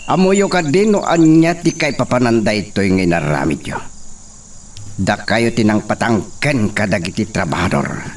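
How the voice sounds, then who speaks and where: A man's voice: on the low side, sing-song, moderate pace. A man playing an arrogant, short-tempered young master in an Ilocano radio drama, insulting and demanding, with an Ilocano accent. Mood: accusing. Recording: distant from mic, background noise.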